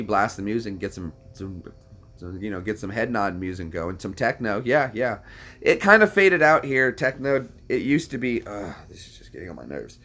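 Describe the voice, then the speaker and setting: A man's voice: mid-range voice, fairly bright tone. A young American man chatting casually to viewers, answering questions in a rambling, self-deprecating way with lots of fillers. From United States. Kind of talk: casual chat.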